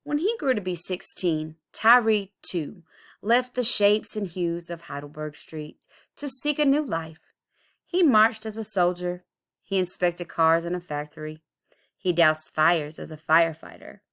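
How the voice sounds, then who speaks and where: A woman reading a children's picture book aloud expressively, with deliberate, dramatic emphasis. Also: fairly low pitch, expressive, a bit bright. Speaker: storybook reader.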